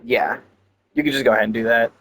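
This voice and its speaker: A man's voice: medium pitch, steady tone, fast talker, a bit bright. A man giving casual gameplay commentary, chatting and thinking aloud in a rambling way. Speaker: game streamer.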